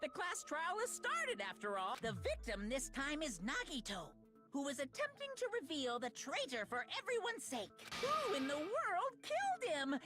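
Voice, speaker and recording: A high, extremely animated cartoonish bear mascot for a video game. It is mischievous and sadistic, teasing with mock sweetness. Game music plays clearly behind. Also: female voice, normal speed. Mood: mocking, playful. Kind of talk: video game character acting.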